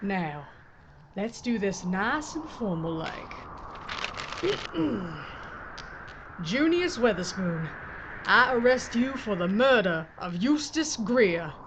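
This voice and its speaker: An actress playing a blunt, folksy Old West sheriff with an in an audio drama. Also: female voice, medium pitch, sing-song, extremely slow, pauses often. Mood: commanding, scornful. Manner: plain-spoken, hard, folksy. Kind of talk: Western audio drama acting. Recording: muffled lo-fi with clear noise.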